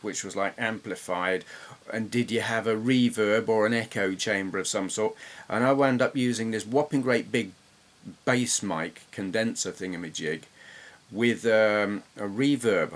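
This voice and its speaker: A man's voice: on the low side, fairly bright tone. A middle-aged man with an English accent, reminiscing casually in an informal, rambling, self-deprecating way. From England. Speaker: host, gamer.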